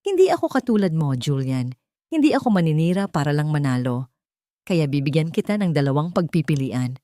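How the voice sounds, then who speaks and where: A woman voicing a calm, firm male millionaire bent on revenge, speaking with deliberate weight in an audio story. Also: deep voice, no room sound, clean studio sound. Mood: stern. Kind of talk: dramatic audio story dialogue.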